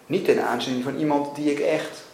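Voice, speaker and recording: A man's voice: fairly high pitch, steady tone, darkish tone, lightly acted. Man reading as anguished father, echoey room. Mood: shocked, faltering. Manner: halting, emotional. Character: anguished father, stammering, pleading, desperately refusing. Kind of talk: dramatic reading of a novel. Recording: echoey room with faint noise.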